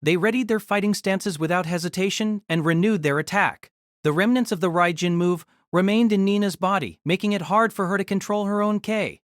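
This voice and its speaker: A woman's voice: medium pitch, fairly quick, no echo, studio quality. A woman narrating a novel in a scripted, dramatic storytelling style.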